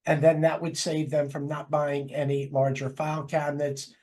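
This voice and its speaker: A man's voice: on the high side, monotone, moderate pace, darkish tone. A man from New Jersey with a New York accent, co-hosting a casual chat and telling a rambling story with hesitant fillers. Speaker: podcast co-host.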